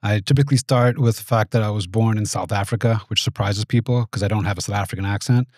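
Measured man in his forties. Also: fairly low pitch, a little mellow, no echo, studio quality. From United States. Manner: measured, thoughtful, with frequent restarts. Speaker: guest, venture capitalist. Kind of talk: reflective interview answers. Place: small podcast studio. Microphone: studio mic on boom arm.

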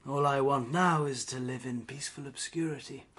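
Actor as a husky, proud failed novelist. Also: male voice, medium pitch, fairly even intonation, fairly quick, a bit bright, close to an everyday voice. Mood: gentle, resigned. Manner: formal, restrained. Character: proud, weary, failed novelist, estranged husband. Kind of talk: British period radio drama acting.

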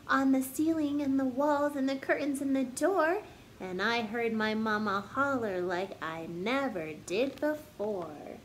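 A woman in her thirties reading aloud and talking to young children like a teacher, in an expressive, deliberate, sing-song manner. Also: sits high, slight background noise, poor audio. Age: 30s. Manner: slow, deliberate, animated teacher delivery addressing children. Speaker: first grade teacher hosting a children's story time. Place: living room at home, indoors.

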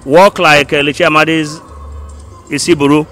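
Man, Nigerian accent, fairly high pitch, deliberate short phrases, interview, soft music in background. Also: level tone. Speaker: audience member being interviewed. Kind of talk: spoken interview answer. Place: indoor hallway by hall doors. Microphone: handheld microphone held close.

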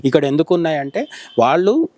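Higher-pitched man explaining in dry close sound. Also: very animated, a little fast, warm tone, no room sound. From India. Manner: explanatory, rephrasing as he goes. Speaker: journalist interviewed as commentator. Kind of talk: informal news analysis in conversation.

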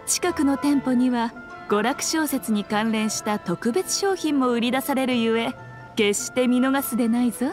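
A woman's voice: fairly high pitch, a little slow, over music, lightly acted. A woman playing an elegant, teasing ancient fox shrine maiden in a Japanese anime game, with archaic, haughty, self-assured speech. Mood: informative.

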